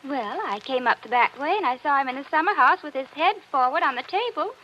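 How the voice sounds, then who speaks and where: Actress playing a giggly chatterbox. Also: female voice, high voice, fast talker, close to an everyday voice. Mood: gushing. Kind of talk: 1940s radio acting. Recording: dull old radio recording with faint hiss.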